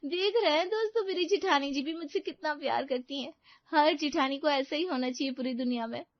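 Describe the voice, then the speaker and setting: A woman's voice: high-pitched, fairly quick, no echo, dull audio, pitched above the performer's own voice. A woman voicing a vain, bossy young bride who chirpily performs for her followers in a cartoon story. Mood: gushing. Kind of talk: cartoon moral-story dialogue.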